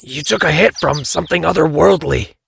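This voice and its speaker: A man playing a gruff, determined werehog beast in an audio drama, with a growling, gravelly voice. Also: fairly high pitch, fairly quick, fairly bright tone, slight background noise. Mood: grim. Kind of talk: audio drama character acting.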